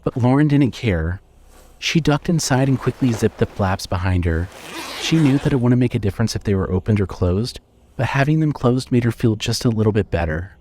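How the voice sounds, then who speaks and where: A man narrating scripted horror fiction in a measured, dramatic storytelling manner. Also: medium pitch, animated, a little mellow, slight background noise.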